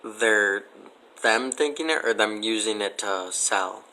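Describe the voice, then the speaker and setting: A man giving casual, matter-of-fact interview answers in a deadpan way. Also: on the low side, slow, slight background noise, poor audio.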